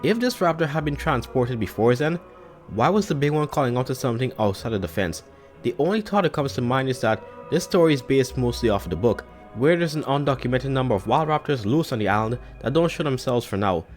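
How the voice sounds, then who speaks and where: A man's voice: medium pitch, expressive, a little fast. A man giving casual, analytical fan commentary as a video host. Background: background music.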